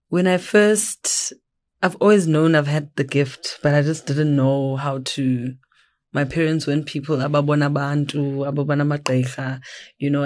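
A South African woman speaks as an interview guest. Her voice is low and soft, and her answers come across as reflective. She mixes English with isiXhosa. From Eastern Cape, South Africa. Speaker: guest, traditional healer.

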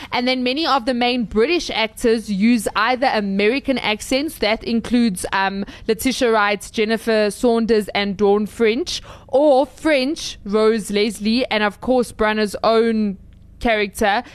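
Woman gushing about movies on a review show, kinda high voice, dry close sound, lots of um and restarts. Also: no echo. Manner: chatty, enthusiastic, with frequent restarts and fillers. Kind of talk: casual movie review chat.

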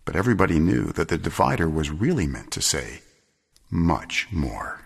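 Deep-voiced male storyteller, expressive and measured. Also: creaky, husky. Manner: measured, solemn, expressive storytelling with deliberate pauses. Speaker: storyteller, narrator. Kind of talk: dramatic scripted story reading.

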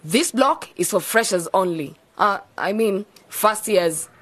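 A woman's voice: medium pitch, expressive, unhurried, a bit bright. A woman playing a flippant, informal young student in a radio drama. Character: flippant young female student in campus dorm.

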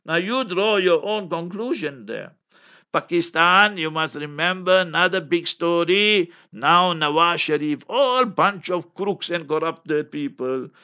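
Male cleric, scornful and blunt commentator, sharp forceful voice pitched a little high, slightly muffled lo-fi recording. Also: animated, a little slow. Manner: acts out quotes and rhetorical questions. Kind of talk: fiery political commentary with religious quotations. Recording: dull lo-fi audio.

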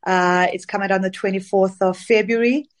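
A middle-aged woman from Zambia, an interview guest, talking through her career in a relaxed, reflective way with many fillers. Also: medium pitch, a little fast. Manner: warm, reflective storytelling with fillers. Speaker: interview guest, dance singer. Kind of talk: casual interview conversation.

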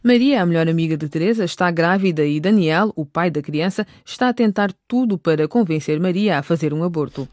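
A woman's voice: lowish voice, animated, a little fast, few pauses, darkish tone, no echo, studio quality. A woman reading scripted narration for a radio drama.

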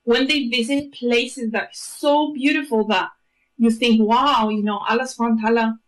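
A woman's voice: on the high side, steady tone, moderate pace, poor audio. A woman in her thirties from Greece and Bulgaria, a travel blogger chatting in a radio interview with frequent fillers and light laughs.

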